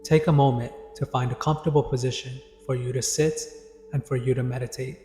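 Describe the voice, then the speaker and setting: A man leading a guided meditation in a calm, deliberate, instructive way with pauses. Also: mid-range voice. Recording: echoey long reverb, music behind. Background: background music.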